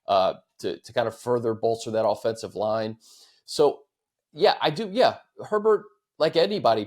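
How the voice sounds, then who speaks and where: Male American podcast host, medium-pitched voice, casual sports discussion with measured, thoughtful phrasing. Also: darkish tone.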